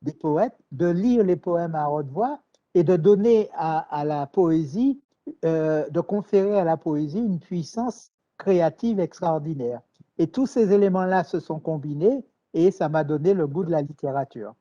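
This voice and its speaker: A man answers in a calm, reflective interview manner. He pauses, repeats words and hesitates as he thinks aloud. His voice is warm and mellow, and the recording sounds dull and lo-fi. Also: on the high side. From Martinique. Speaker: writer, interview guest.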